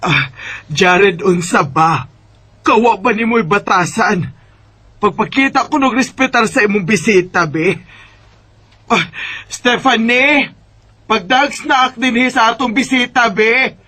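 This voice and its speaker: Woman playing nosy disapproving bisaya mom in a radio drama, scratchy forceful voice, slow and dramatic. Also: a little high, full character voice. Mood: scolding. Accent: Filipino, Bisaya. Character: disapproving, meddling mother of a grown son. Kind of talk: amateur radio drama acting.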